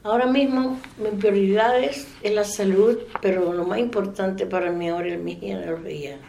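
A woman in her eighties from the Dominican Republic with a Dominican accent, giving a soft-spoken oral history interview. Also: medium pitch, moderate pace, vocal fry, a little hiss or hum. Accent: Dominican Spanish. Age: 80s. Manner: soft-spoken, trailing off into quiet.

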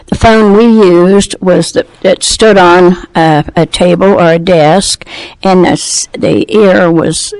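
An older woman from Pennsylvania recalls her past in an interview, halting and slow. Her voice is gravelly and the sound is close and dry. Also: lowish voice, a little mellow, dry recording, rough recording. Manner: halting, with many fillers. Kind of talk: reminiscing in an interview.

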